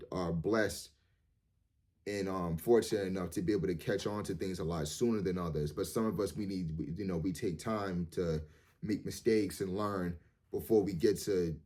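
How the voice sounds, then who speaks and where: Deep-voiced man in his thirties, rambling. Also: mellow. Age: 30s. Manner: rambling, repeats words, many fillers; earnest, motivational. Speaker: vlogger. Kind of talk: casual monologue to camera.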